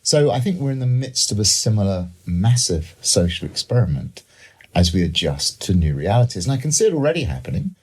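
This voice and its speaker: A man's voice: lowish voice, sing-song, brisk, a little mellow, breathy edge. A middle-aged man with a British accent explaining ideas as an expert guest in a panel discussion, reflectively and with examples. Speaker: economist and author, panel guest.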